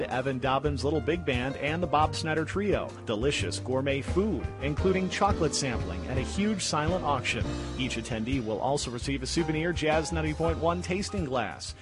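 A man reading a scripted radio advertisement for an event in a steady, measured way. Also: medium pitch. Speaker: station announcer. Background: music bed.

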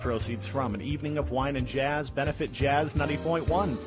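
A man reading a scripted radio advertisement for an event in a steady, measured way. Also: mid-range voice. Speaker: station announcer. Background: music bed.

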